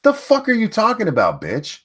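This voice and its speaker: A man's voice: high voice, huge pitch swings, a little fast, a bit bright, soft background music. An older man hosting a livestream, mocking what he reads aloud in crude, sarcastic, swearing commentary. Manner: reads chat and an article aloud. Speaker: livestream host.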